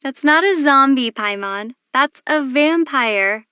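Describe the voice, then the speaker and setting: Game heroine: young traveler, high-pitched, measured and patient with a gently dry wit. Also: female voice, expressive, dry recording, exaggerated voice. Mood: amused. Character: young female adventurer, patient, thoughtful, dryly amused. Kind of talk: video game character voice acting.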